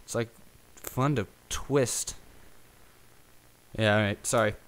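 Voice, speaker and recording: A man's voice: fairly low pitch, steady tone, takes it slowly, smooth, rough recording. A young man hosting a casual solo talk show, chatting off the cuff in a rambling, self-deprecating way. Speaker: host of a YouTube racing talk show. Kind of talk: casual unscripted solo chat.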